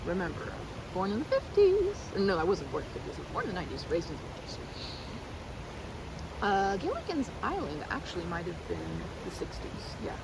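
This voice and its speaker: A woman in her thirties with an American accent, rambling in a casual, animated and playfully theatrical way as a chatty best friend in an ASMR roleplay. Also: mid-range voice, brisk, heavy background noise. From United States. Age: 30s. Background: river park ambience with water and vehicle sounds.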